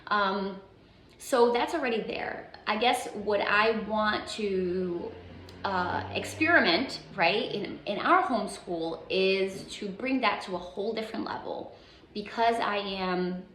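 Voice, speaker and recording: A woman whose first language is Portuguese, explaining things informally as a homeschooling mom on a vlog, halting and reflective, often checking in with a questioning tag. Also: mid-range voice, a bit bright. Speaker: homeschooling mother and video host. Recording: echoey room with faint background noise.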